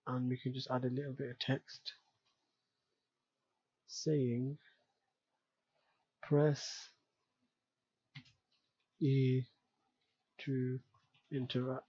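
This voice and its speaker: A man talks through a tutorial in a mellow, slightly husky voice, casually thinking aloud. The recording is dry and somewhat dull, as from a close mic. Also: medium pitch, flat delivery, drawn-out words, pauses often, dry recording. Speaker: tutorial presenter. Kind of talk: unscripted screen-recorded software tutorial narration.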